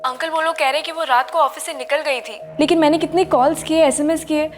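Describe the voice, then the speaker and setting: An actress playing a caring young woman in a TV crime serial, expressive and mixing English into her talk. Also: sits high, fast talker, faint music behind, lightly acted. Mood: worried. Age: young adult. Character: caring, anxious young woman worried about her roommate.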